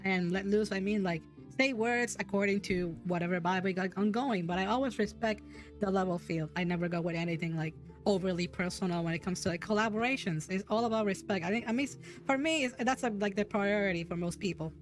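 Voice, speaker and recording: A woman's voice: mid-range voice, brisk, faint music behind. Giggly, rambling woman host. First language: Spanish. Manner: giggly, rambling with many restarts. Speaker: podcast host. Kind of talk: casual streamed chat.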